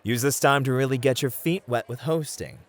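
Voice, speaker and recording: An actor playing a beefy, experienced club host, a flirty and politely teasing charmer in a scripted audio roleplay. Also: male voice, medium pitch, a little fast, natural voice. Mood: teasing, suave.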